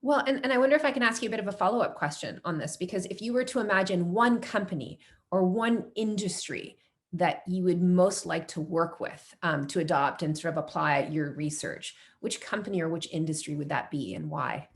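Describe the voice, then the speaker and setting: A woman hosts a casual interview with an enthusiastic, wordy manner. She leans on fillers and builds long questions in stages. Her voice sits slightly low. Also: fairly quick.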